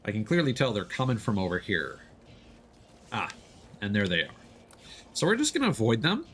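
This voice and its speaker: Low-voiced man commentating a game. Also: wildly swooping pitch, moderate pace, fairly bright tone, slight background noise. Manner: explanatory, talking through tactics step by step. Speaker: video game streamer. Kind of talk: casual live gameplay commentary. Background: video game sound.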